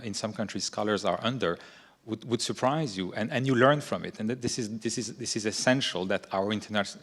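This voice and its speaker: A man speaking on a conference panel, halting and repeating words as he searches for his phrasing. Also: on the low side, in a large hall. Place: large conference hall.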